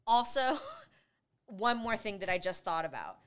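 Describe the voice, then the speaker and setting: A woman chatting casually as she recaps a film with a co-host, laughing often and slipping into quick put-on lines for the characters. Her voice sits at an ordinary middle pitch. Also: very animated. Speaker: podcast co-host. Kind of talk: casual movie recap chat.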